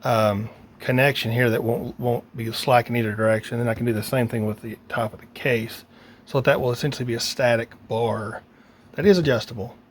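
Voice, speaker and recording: Casual man talking over clear background noise. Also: mid-range voice, steady tone, brisk. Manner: informal, thinking aloud while working. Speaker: DIY radio hobbyist presenter. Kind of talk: casual hands-on how-to narration.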